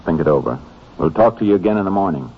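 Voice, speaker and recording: Deep, brisk Texas Ranger. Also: male voice, animated. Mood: businesslike. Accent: American. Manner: measured, matter-of-fact, clipped. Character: calm, steady Texas Ranger investigator, narrating his case. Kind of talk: 1950s radio drama acting and narration. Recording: dull, noisy 1950s radio recording.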